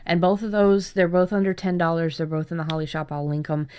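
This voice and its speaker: A woman podcast host chatting casually about writing, telling things in an animated way with chuckles. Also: fairly low pitch, fairly quick, clear, resonant tone. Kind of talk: casual chat about story ideas.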